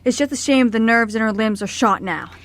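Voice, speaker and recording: A woman's voice: a little high, a little fast, slight background noise, natural voice. A woman playing a kindly, reluctant orphanage worker in an amateur radio play, apologetic and hesitant. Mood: worried, reluctant. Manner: soft-hearted, apologetic, hesitant.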